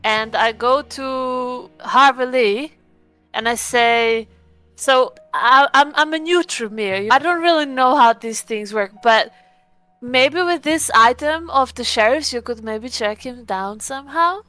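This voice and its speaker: A woman's voice: high voice, unhurried, sharp tone, smooth, no room sound, faint music behind. A woman playing in a tabletop vampire role-playing game, casually narrating and speaking as her character.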